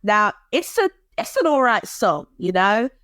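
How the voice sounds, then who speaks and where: A woman co-hosting a podcast in casual chat, animated and quick to laugh, with dramatic asides. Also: medium pitch, dry recording, studio quality. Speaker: podcast co-host.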